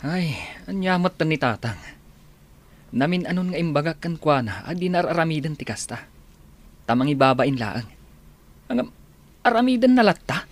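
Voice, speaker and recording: Exasperated, scolding grown son. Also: male voice, fairly high pitch, sing-song, normal speed, long pauses. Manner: scolding, emphatic. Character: exasperated grown policeman son scolding his drunkard father. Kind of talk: Ilocano radio comedy drama acting.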